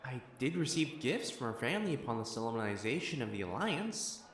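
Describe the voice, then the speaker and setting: Man playing a haughty, flustered young nobleman, wildly expressive. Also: mid-range voice, brisk, a little hiss or hum. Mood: quiet wonder. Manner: haughty formal diction, stammering when flustered. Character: proud, flustered, possessive young noble matchmaker, secretly smitten. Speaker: voice actor in a romance audio roleplay. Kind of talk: acted romantic audio drama monologue to the listener.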